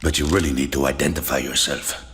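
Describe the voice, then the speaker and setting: An actor playing a wary, polite mercenary fighter in a cartoon. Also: male voice, a bass voice, flat delivery, quick pace, a bit bright, big-room sound.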